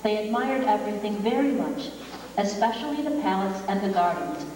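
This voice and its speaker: A woman's voice: medium pitch, lively intonation, moderate pace, darkish tone. A woman reading a scripted fairy tale as a storyteller. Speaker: narrator. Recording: echoey hall, distant mic, faint noise.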